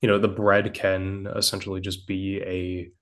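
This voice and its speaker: A man with a very deep voice and a Midwestern American accent, co-hosting a relaxed podcast chat. He talks in halting bits, hedging with fillers before landing his point, and laughs easily. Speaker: podcast co-host. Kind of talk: casual conversational chat.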